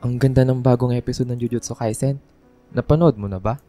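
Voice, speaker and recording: A mellow, dark-toned young man, voiced by an actor playing a sweet anime-fan crush in a radio drama. He is sincere and friendly, with music underneath. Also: medium pitch, fairly even intonation, quick pace, lightly acted. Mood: enthusiastic.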